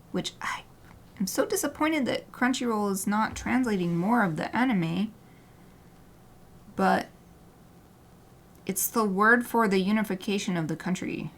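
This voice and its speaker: A woman artist chatting casually during a livestream as she paints, rambling and often dropping to a soft murmur, with light laughter. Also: mid-range voice, measured pace, pauses often. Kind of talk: casual chat while painting.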